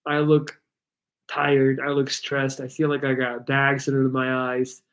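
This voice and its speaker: A man's voice: medium pitch, unhurried. A man whose first language is Dutch, speaking English casually and rambling a little as he hosts a live Q&A, with slightly altered articulation of some sounds. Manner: relaxed, rambling, reads chat aloud. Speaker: livestream host answering viewer questions.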